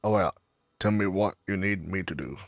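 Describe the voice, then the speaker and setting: A man playing through a video game with low-key commentary, haltingly reading dialogue choices aloud. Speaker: gamer recording a playthrough.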